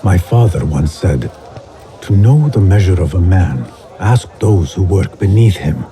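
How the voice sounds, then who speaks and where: A low, calm young assassin. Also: male voice, measured pace. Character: quiet watchful young male assassin. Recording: game audio with strong score.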